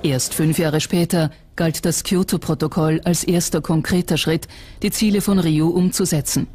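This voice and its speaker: A documentary narrator reading a scripted voice-over in a measured, factual way. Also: mid-range voice, fairly even intonation, dark tone.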